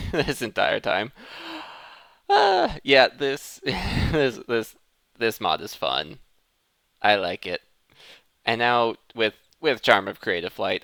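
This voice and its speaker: A man's voice: on the low side, bright, forceful tone, smooth, no echo. A man giving casual, playful gameplay commentary as the host of a let's play.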